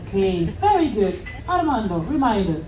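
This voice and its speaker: A woman's voice: medium pitch, very animated, darkish tone, a little hiss or hum. Upbeat woman hosting a stage game show. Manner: brisk, upbeat, prompting contestants and audience. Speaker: game show host on a cruise ship. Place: large ship theater with live audience. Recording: echoey theater picked up from far off. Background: audience chatter and applause.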